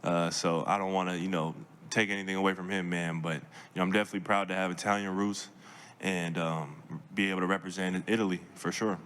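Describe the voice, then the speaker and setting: Deep flat-voiced young man. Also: clear, resonant tone. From Seattle, Washington. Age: young adult. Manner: measured, many fillers and restarts. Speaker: draft pick at press conference. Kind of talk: press conference answers. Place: press conference room.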